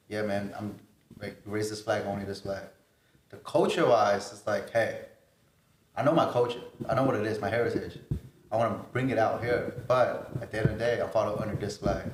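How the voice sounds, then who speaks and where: A man chatting casually and slangily as a podcast panelist, with an informal, halting delivery. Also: fairly low pitch. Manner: informal, halting, often addresses listener as bro or man. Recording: echoey room with clear background noise.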